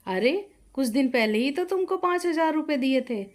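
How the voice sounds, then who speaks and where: Woman voicing practical young husband, high voice. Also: expressive, moderate pace. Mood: exasperated. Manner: explanatory, remonstrating. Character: young husband, practical, reasoning, budget-minded.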